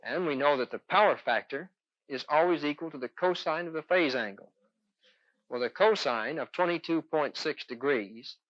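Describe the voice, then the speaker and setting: A man's voice: on the high side, fairly bright tone, dry recording. A man lecturing as an electronics instructor in a 1970s instructional film, explaining methodically step by step. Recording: dull, dry 1970s film soundtrack.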